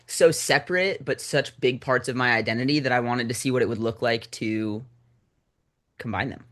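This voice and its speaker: A man with a medium-pitched voice speaks as a filmmaker guest in a relaxed interview format. His delivery is informal and reflective, with phrases that often trail off as he thinks. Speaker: screenwriter and director guest. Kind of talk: casual conversational interview.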